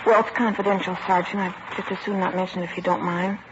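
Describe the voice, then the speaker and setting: A woman's voice: lowish voice, flat delivery, fast talker, natural voice. An American actress playing a young, evasive working woman being questioned by police in a 1950s radio drama. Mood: reluctant confiding. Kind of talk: 1950s radio drama acting, naturalistic dialogue. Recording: noisy, muffled vintage radio recording.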